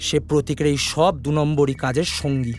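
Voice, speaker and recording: Guy playing brisk cartoon cop boss, talks really fast, serious and urgent, slightly high voice. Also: steady tone, a little mellow, faint music behind, natural voice. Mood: deducing. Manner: decisive, commanding, urgent. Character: brisk, serious male detective giving orders to his team. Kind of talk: animated cartoon dialogue.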